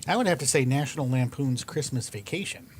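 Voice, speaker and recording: A man's voice: medium pitch, a little fast. A man performing in a casual, conversational way as a guest in an audio play. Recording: faint background noise.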